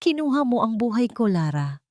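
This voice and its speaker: A woman's voice: fairly high pitch, moderate pace, dry recording, studio quality, voice pushed up. Woman acting a cold, betrayed Filipino woman. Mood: accusing. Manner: flat, lifeless, firm. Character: betrayed, wounded woman, cold and emotionally drained.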